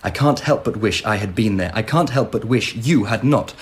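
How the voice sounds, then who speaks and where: Pompous, whimsical emperor played by an actor. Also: male voice, fairly low pitch, lively intonation, moderate pace, lightly acted. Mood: regretful. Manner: pompous, regal. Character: imperious, whimsical, easily swayed Japanese emperor. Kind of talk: radio drama acting.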